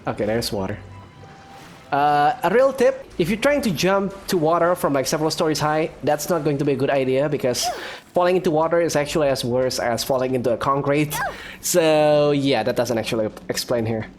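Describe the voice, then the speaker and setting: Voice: mid-range voice, animated, a little fast. A gaming video host giving casual, chatty commentary while playing. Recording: faint background noise.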